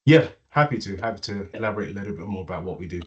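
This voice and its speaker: A British man speaks in a very low, friendly voice, pausing on "uh" as he introduces himself. He is heard over a video call. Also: lively intonation. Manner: friendly, with frequent "uh" fillers. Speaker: meeting participant introducing himself.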